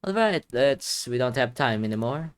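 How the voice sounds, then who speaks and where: A man's voice: mid-range voice, moderate pace, clean tone. A man doing playful game commentary, reading story text aloud and reacting with exclamations, his voice a little tired and strained. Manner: animated, exclaiming reactions between read passages. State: voice tiring and strained. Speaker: gaming video creator.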